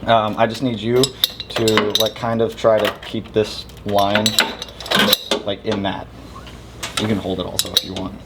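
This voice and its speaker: A man's voice: lowish voice, flat delivery, a little slow, pauses often, very noisy. A man casually explaining car repair steps as he works, upbeat and plain-spoken, in a garage. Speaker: car modding vlogger. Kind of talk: casual hands-on narration. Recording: clear background music over voice.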